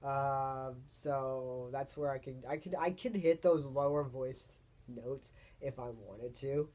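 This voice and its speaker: Young man, deep voice, slow unhurried speech, rambling and hesitant, casual vlog. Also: darkish tone. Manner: rambling and hesitant, searching for words. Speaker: vlogger. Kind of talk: casual personal vlog monologue.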